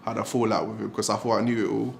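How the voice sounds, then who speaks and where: Gravelly young London man. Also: fairly low pitch, fast, a little hiss or hum. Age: young adult. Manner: relaxed, casual, with frequent "do you know what I mean". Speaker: hostel resident rep. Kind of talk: interview about his life.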